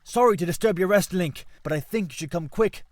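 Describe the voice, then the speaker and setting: A man's voice: high-pitched, a little fast, dry recording, voice pushed up, natural voice. A man voicing a courteous, worried prince of a fish-like people. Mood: urgent. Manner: urgent, polite.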